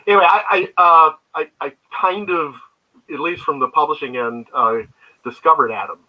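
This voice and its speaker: A man's voice: fairly high pitch, normal speed, pushed and bright, low-quality recording. An older man, a guest comics creator, telling rambling anecdotes in a casual interview.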